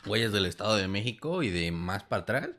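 Co-host: young man, low but bright and sharp, very lively pitch, teasing. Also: brisk. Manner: teasing, mocking, animated. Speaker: podcast co-host. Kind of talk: casual banter.

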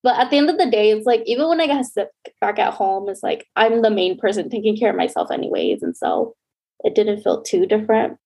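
A woman's voice: mid-range voice, sing-song, fairly quick, gravelly, darkish tone, husky. A young woman co-hosting a casual podcast, a bit stuffy from a cold, talking chattily with lots of "like". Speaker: podcast co-host.

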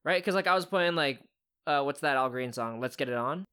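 A woman's voice: very deep voice, fairly quick, fairly bright tone, clear, resonant tone. A young woman co-hosting a podcast in casual chat, rambling through stories in a laid-back way. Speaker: podcast co-host.

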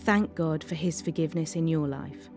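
A woman reading a scripted devotional in a measured, reflective way. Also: low voice. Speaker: devotional reader. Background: faint music.

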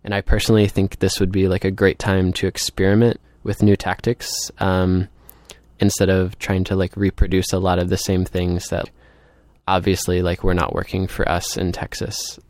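A man's voice: sits low, monotone, moderate pace, a little mellow, dry recording. A man speaking informally as an activist in an interview, thinking aloud and peppering his sentences with "like". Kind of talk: casual spoken interview.

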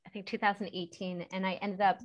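A woman in her thirties, a guest entrepreneur, telling stories in a casual interview, chatty with many fillers and animated retellings. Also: medium pitch, fast, dull audio. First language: English.

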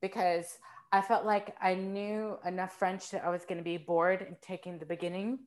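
A woman chatting as a podcast guest, telling stories in an animated, self-mocking way. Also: fairly low pitch, normal speed. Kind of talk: casual conversational storytelling.

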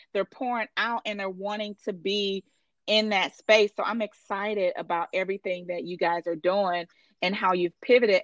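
A woman host hypes up her guest with affirming, slangy enthusiasm. Her pitch is mid-range, and the recording sounds dry and dull, with a lo-fi edge. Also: no room sound. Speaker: interview host. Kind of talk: enthusiastic interview hosting.

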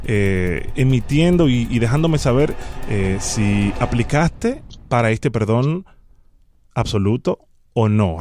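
A man's voice: mid-range voice, a little mellow, no room sound, clean studio sound, close to an everyday voice. A man playing a formal, bureaucratic immigration officer in a prank phone call, sternly polite and officious. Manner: formal, officious, repeats the name.

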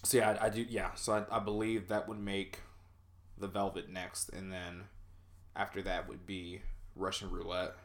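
A man's voice: low-pitched, flat delivery, moderate pace. A man hosting a solo podcast, rambling casually and self-deprecatingly with lots of fillers. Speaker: podcast host.

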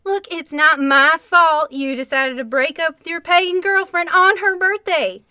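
A woman's voice: extremely high pitch, unhurried, sharp tone, no echo, clean studio sound, exaggerated voice. A woman playing an exasperated, bossy country girlfriend, scolding dramatically in a comedic radio play.

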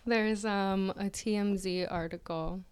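A young woman co-hosts a casual podcast, gossiping about celebrities with frequent giggles. She talks slowly and drawls her words. Her voice is smooth and clear. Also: mid-range voice, steady tone, a little mellow. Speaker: podcast co-host.

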